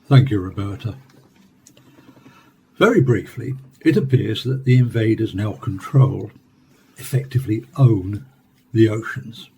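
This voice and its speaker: An actor playing a measured scientist, explaining an alien invasion formally in a radio drama. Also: male voice, lowish voice, creaky, warm tone, a little hiss or hum, poor audio. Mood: grave, measured. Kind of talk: radio drama acting as a studio interview guest.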